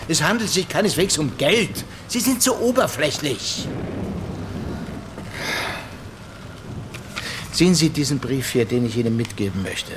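An actor playing a grave, worldly man in a German radio play, a concerned older friend with a deliberate, literary way of speaking. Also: a little high, expressive, noisy background, natural voice. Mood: insistent, exasperated. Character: grave, worldly male narrator looking back on a troubled friend. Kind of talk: German radio drama narration and dialogue.

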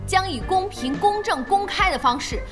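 A woman playing an eager, naive, excitable young female boss with an inquisitive, emphatic manner in an audio drama. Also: very high voice, moderate pace. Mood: excited. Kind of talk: Mandarin audio drama acting. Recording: studio drama with faint music.

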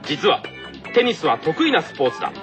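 Music plays behind a man co-hosting a comedy radio show. He presents politely and explains things, his pitch swinging very widely. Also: huge pitch swings, brisk. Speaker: radio show co-host reading quiz questions. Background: loud background music.

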